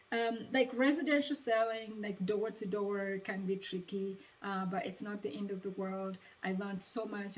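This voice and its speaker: Ugandan-accented young woman, distant mic. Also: medium pitch. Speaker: vlogger sharing sales advice. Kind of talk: casual personal advice talk. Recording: distant microphone.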